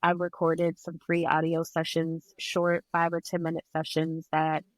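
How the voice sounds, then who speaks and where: A woman's voice: sits low, completely flat delivery, a little slow, no room sound. A woman speaking as an interview guest, reflective and conversational, with an earnest, encouraging way of talking. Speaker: podcast guest, breathwork and yoga instructor.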